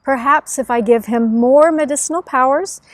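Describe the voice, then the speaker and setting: A woman's voice: high-pitched, no echo, close to an everyday voice. A woman voicing a patient, musing female nature spirit in a children's storybook. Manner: musing, deliberate.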